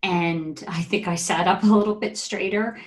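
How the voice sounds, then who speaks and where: A woman interviewing in a reflective, conversational style, attentive and affirming, with measured phrasing. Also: on the low side, steady tone.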